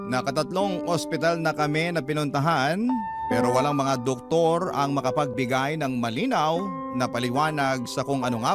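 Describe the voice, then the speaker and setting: A male radio narrator giving an earnest, dramatic first-person reading of a listener's letter. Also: on the high side. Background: loud music.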